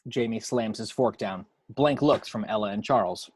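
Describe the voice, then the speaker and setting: A man's voice: fairly low pitch, moderate pace, a little mellow, breathy edge, no room sound, clean studio sound. A man reading action descriptions from a screenplay as scripted narration.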